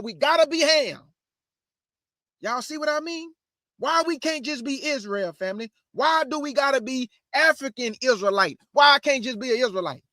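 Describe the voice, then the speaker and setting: A man's voice: very high-pitched, bright, forceful tone, no room sound, studio quality. A man hosting his show, giving casual religious commentary in a preachy way and calling his listeners family. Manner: preachy, addresses audience as family. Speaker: host.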